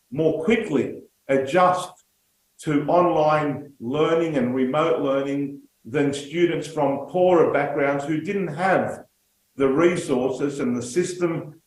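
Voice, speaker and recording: A man's voice: on the high side, roomy sound, poor audio. A man from Australia with an Australian accent, a native Greek speaker, giving an earnest, somewhat pessimistic conference talk with frequent fillers. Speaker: union speaker.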